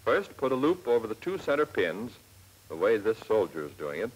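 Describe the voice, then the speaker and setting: Husky American man, 1940s training film narrator. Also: on the low side, very animated, rough recording. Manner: clear step-by-step instructing, measured and plain. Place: scripted voice-over for film. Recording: old 1940s film soundtrack, slightly rough.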